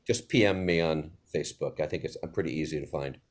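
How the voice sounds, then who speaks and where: Male panelist, low register, hesitant and repetitive, casual introduction style. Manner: hesitant, halting, repeats himself. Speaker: panel guest, playwright and teacher.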